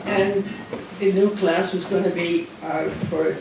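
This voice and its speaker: An older man with a high, gravelly voice tells a personal story in a halting, rambling way, full of restarts. He sits back from the microphone, and the hall around him rings with strong echo. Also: steady tone, background noise. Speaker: guest speaker. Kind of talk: personal wartime memoir talk. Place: large hall at a dinner event. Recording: echoey hall heard from a distance.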